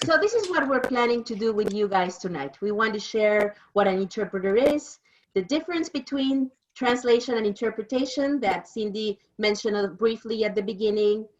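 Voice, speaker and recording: A woman's voice: mid-range voice, lively intonation, normal speed, muffled recording. A woman of fifty with a Mexican accent, a native Spanish speaker, giving an informal explanatory presentation as an interpreter.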